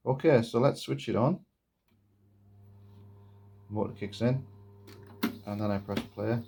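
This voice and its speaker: A man giving a casual, step-by-step hands-on demonstration in a halting, explanatory way. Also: lowish voice, vocal fry, noisy background. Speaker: presenter of a gadget demonstration video. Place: indoors at a wooden table.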